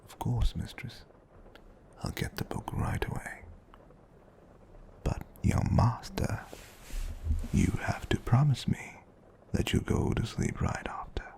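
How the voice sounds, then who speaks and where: A man playing a suave, teasing butler babysitter in an ASMR roleplay, speaking formally and gently firm in a breathy, close whisper. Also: animated. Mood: polite. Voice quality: breathy, close whisper with creaky low tones. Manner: formal, polite, unhurried.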